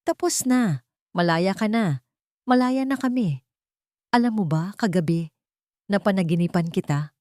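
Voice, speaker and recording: A woman voicing a gentle, loving widowed mother in an audiobook story, speaking softly and wistfully with a Filipino accent. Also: medium pitch, a little slow, pauses often, dry recording, clean studio sound, natural voice. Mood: tender, wistful.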